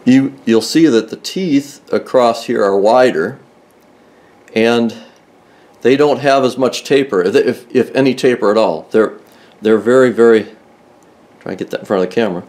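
A man's voice: mid-range voice, moderate pace, pauses often, darkish tone. An older man explaining a tool in plain, methodical talk, often restarting mid-sentence, recorded inside a car in a garage. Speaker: car restoration video host. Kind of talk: hands-on tool demonstration.

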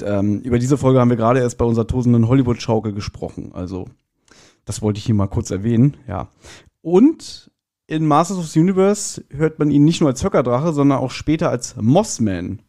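A man speaks as a guest in a relaxed review chat. His voice is mellow and rather dark, at an ordinary middle pitch for a man. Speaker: podcast guest.

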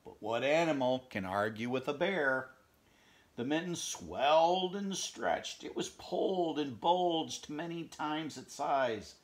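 A middle-aged man reading a picture book aloud at home, expressive, pausing to chat about the pictures and dropping into whispers. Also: on the high side. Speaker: read-aloud storyteller. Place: home room, indoors.

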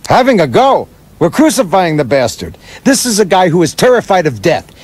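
An actor playing a cynical, wisecracking veteran screenwriter in a radio play, sardonic and needling. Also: male voice, high voice, very animated, moderate pace, a little mellow, breathy edge, full character voice.

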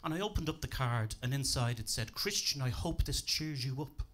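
A man's voice: mid-range voice, normal speed. A young man with a Dublin accent doing a stand-up set on a comedy club stage, talking dry and self-deprecating into a handheld mic. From Ireland. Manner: dry, deadpan delivery with self-deprecating asides.

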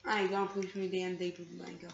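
A woman's voice: fairly low pitch, little pitch movement, normal speed, creaky. A woman chatting while playing a game with a friend, hesitant with many ums and a bit bossy, recorded in a room off a TV. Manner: hesitant, lots of ums, bossy with her co-player. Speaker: gamer. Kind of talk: casual gaming chat. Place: room filmed off a TV screen. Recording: echoey lo-fi room recording with noise.

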